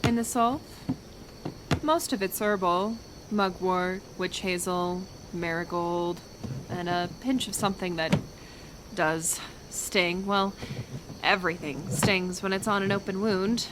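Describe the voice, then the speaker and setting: A woman playing a kind, stubborn healer in an intimate ASMR roleplay, speaking in a gentle, halting, soothing way that often slips into whispers. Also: lowish voice, fairly even intonation, background noise. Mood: calm, careful.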